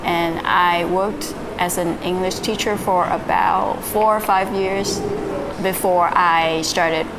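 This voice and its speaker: A young woman from Vietnam, a native Vietnamese speaker, telling her story as a podcast guest in a relaxed way with fillers and soft laughs. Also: on the low side, slow pace. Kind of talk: casual interview, recounting her story. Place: live-streamed online call. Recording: audible background noise.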